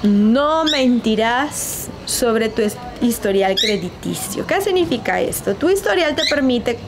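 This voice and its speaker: A woman in her forties, a business coach, explaining money tips to camera in a lively way, with questions to the viewer, recorded outdoors on a balcony. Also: fairly high pitch, measured pace, noisy background. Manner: lively, uses rhetorical questions and formal "usted" address. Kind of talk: informal financial advice talk to camera. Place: outdoor balcony overlooking hills.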